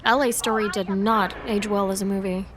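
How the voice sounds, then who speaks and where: A woman's voice: medium pitch, steady tone, normal speed, a crowd in the background, natural voice. An actress playing an opinionated, easily exasperated young woman in a romantic-comedy audio drama. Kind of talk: naturalistic romantic-comedy podcast acting.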